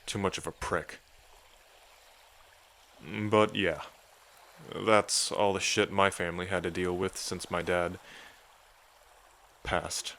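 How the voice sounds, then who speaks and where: A man's voice: low-pitched, level tone, takes it slowly, pauses often, a bit bright, full character voice. A man playing an affectionate dragon king in an intimate ASMR roleplay, speaking in a casual, tender way to a lover. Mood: candid, wry. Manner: tender, casual, hesitant when moved.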